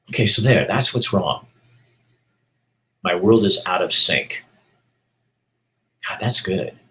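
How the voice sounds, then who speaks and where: A middle-aged man with a very low voice talks loosely to his viewers, rambling and thinking out loud. His tone is bright and forceful despite the depth, and he keeps it candid and off the cuff. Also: low-quality recording. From Oregon. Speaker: show host. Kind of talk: casual live-stream talk to viewers.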